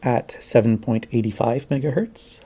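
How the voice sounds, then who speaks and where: A man's voice: mid-range voice, unhurried. A man narrating his own amateur recordings in a plain, brief, matter-of-fact way. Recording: very noisy, radio hiss and clicks.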